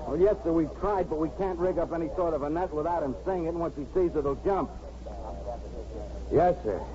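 An actor playing a harried, sarcastic police chief, brusque and bossy, in a 1950s radio drama. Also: male voice, a little high, brisk, natural voice. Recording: noisy, dull old radio broadcast.

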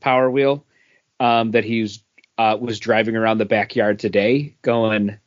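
A man co-hosting a podcast, telling anecdotes in an informal, halting, conversational way. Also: lowish voice, steady tone. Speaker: podcast co-host. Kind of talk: casual chat and anecdotes. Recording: dry but dull lo-fi audio.

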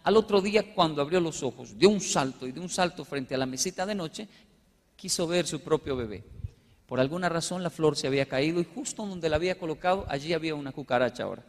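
High-pitched Colombian man speaking in a reverberant hall. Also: fast. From Colombia, with Japanese background. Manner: animated storyteller, acting out short dialogues. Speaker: motivational speaker. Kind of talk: humorous storytelling talk to a live audience. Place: large hall with live audience.

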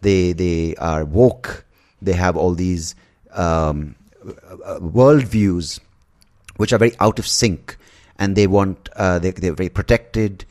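A man in his forties hosting an interview, asking long, halting questions full of fillers. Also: sits low, steady tone, a little mellow. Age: 40s. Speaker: podcast host.

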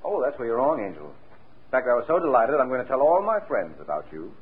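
A man's voice: mid-range voice, huge pitch swings, fast, breathy edge, exaggerated voice. A man playing a cool, wisecracking, flirtatious private detective in a 1940s radio drama, with a breezy, sardonic, teasing way of talking. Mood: sly, teasing. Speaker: radio drama lead actor. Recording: tinny, band-limited old radio recording.

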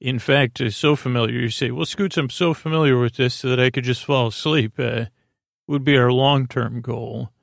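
Creaky-voiced, meandering man hosting a sleep podcast. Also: medium pitch, steady tone, no room sound, studio quality. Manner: meandering, halting, stumbling over words and drifting into tangents; lulling, gentle. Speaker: podcast host. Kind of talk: rambling, soothing sleep-podcast monologue.

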